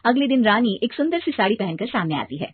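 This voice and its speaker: A woman's voice: a little high, sing-song, fast, husky, dry recording. Woman storyteller in dry, phone-like sound. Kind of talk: scripted story narration.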